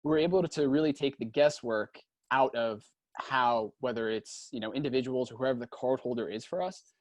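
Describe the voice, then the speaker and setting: A man in his twenties, an upbeat and animated startup CEO, speaking as a panelist on a video call. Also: mid-range voice, moderate pace, no room sound. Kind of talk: casual panel introduction.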